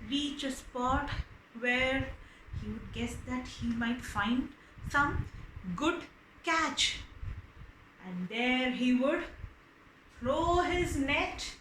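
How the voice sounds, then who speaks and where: A woman telling stories for children, explaining as she goes, expressive and repeating words for emphasis. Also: high-pitched. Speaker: storyteller. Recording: roomy echo with faint background noise.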